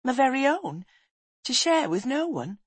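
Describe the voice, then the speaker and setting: A woman's voice: fairly high pitch, animated, moderate pace, husky, no room sound, pitched above the performer's own voice. A woman voicing a shy, wary orphan boy with an artless, earnest manner in an audiobook. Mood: amazed.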